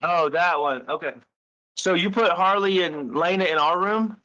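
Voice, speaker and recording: Bright-voiced man, halting and coaxing. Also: sits high. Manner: halting, repeats and rephrases, coaxing. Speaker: caller questioning the other speaker. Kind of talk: tense private phone conversation, questioning. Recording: recorded phone call. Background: recorded phone call.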